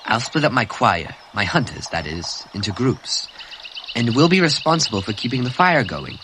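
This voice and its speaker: A man voicing a bossy, eager teenage boy who leads the hunters, speaking in an excited, raised voice. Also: medium pitch, moderate pace, a little hiss or hum.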